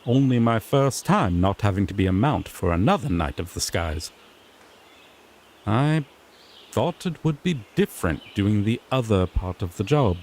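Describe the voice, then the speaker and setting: A man's voice: fairly low pitch, expressive, measured pace, a little mellow, slight background noise. A man playing a sassy, exasperated griffin knight in a fantasy audio roleplay, pompous one moment and sardonically grumbling the next. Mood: disappointed, grumbling. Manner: pompous proclamations slipping into flustered, sardonic grumbling.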